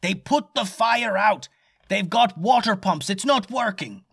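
A man voicing a nervous male ship captain who yells in a flustered way in a storybook reading. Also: medium pitch, sing-song, normal speed, dry recording, clean studio sound. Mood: yelling. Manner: loud, flustered yelling.

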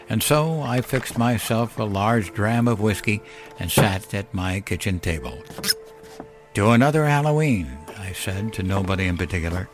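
A man narrating a scripted first-person horror story as a weary, wistful old man, in a measured, solemn and dramatic way. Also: lowish voice. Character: weary, wistful elderly man telling his ghostly tale. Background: music under the voice.

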